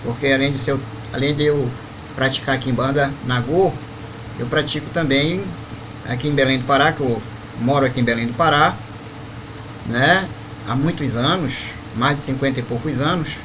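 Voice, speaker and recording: A man in his fifties from northeastern Brazil, telling his spiritual life story to viewers at an unhurried pace with frequent tag questions. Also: mid-range voice, noisy background. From Maranhão, Brazil. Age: 50s. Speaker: spiritual leader.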